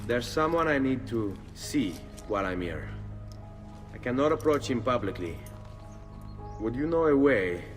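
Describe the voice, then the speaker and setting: A man's voice: medium pitch, very animated, unhurried, pauses often. A young Italian nobleman turned assassin in a Renaissance video game. He is earnest, courteous and direct, with a middle-range voice over faint music. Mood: discreet. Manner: earnest, polite but firm. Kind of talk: video game cutscene acting.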